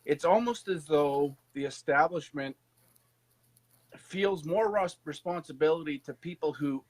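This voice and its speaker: Higher-voiced emphatic male interviewer. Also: unhurried. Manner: emphatic, opinionated. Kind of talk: conversational interview.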